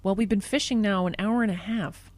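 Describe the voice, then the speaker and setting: A woman's voice: mid-range voice, brisk, a little mellow, no room sound, lightly acted. A woman playing a talkative, nosy small-town friend who fusses and presses in an audio drama. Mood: needling, impatient.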